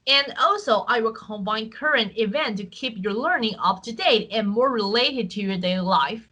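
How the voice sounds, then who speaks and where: Bright-voiced Taiwanese woman teaching Chinese. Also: a little high, moderate pace. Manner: clear, patient explaining with frequent fillers. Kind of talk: online language lesson with screen share.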